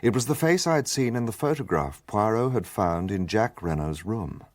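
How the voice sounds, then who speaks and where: An actor playing an earnest, excitable English gentleman, a detective's loyal companion, in a radio drama with a British accent. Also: fairly low pitch, moderate pace, dry recording, natural voice. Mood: grave narration. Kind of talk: radio drama narration and dialogue.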